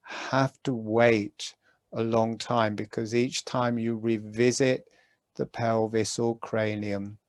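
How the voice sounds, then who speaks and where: A man guiding a breathing meditation, giving calm, gentle instructions with long pauses. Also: on the low side, creaky, no echo. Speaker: meditation guide.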